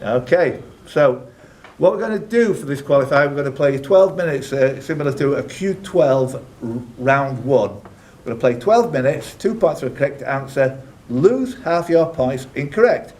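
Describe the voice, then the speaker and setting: A man's voice: mid-range voice, lively intonation, moderate pace, darkish tone. A man hosting a live quiz, reading out questions with jokey, teasing asides to the contestants. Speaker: quiz host and question reader.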